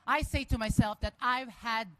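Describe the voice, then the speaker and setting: A woman from the Philippines with a Filipino accent, giving a lively, personal speech at an outdoor march. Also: fairly high pitch, moderate pace, no echo. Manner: enthusiastic, personal. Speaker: guest speaker at a march. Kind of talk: rally speech. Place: outdoor rally.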